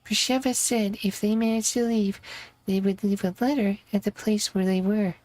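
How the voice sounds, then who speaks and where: A woman's voice: medium pitch, steady tone, normal speed, darkish tone, lightly acted, rough recording. A woman voicing an earnest, loyal and worried character in a fantasy game, with a concerned, pleading manner. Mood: resigned.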